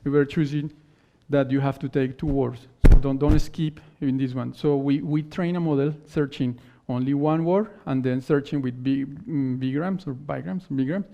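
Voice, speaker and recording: A man whose first language is not English, giving a technical conference talk and answering questions in halting phrases that he often restarts. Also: medium pitch, fairly even intonation, vocal fry, dark tone, a little hiss or hum. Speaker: conference speaker. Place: conference hall stage. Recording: dull lo-fi conference recording.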